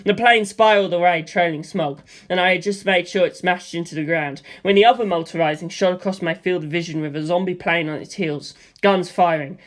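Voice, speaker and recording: A narrator reading a first-person novel aloud in an expressive storytelling style, acting out the action with gusto. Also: medium pitch, fairly quick, hardly any pauses. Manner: acts out sound effects with gusto.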